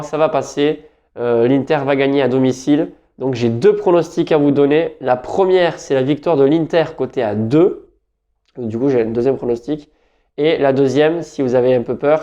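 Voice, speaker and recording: A man's voice: a little high, warm tone, muffled recording. A French man giving football betting tips in a casual, rambling talk, often correcting himself. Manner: chatty, rambling, self-correcting. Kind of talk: casual solo talk to camera.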